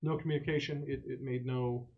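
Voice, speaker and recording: A man's voice: mid-range voice, fairly even intonation, brisk, creaky, narrow, tinny audio, a little hiss or hum. A man playing a tabletop role-playing game, talking casually and bluntly with military radio jargon.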